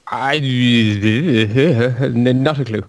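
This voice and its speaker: A man's voice: medium pitch, drawn-out words, dry recording. A man from Belgium, a quiz contestant, chatting in a dry, self-deprecating way. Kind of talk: casual chat.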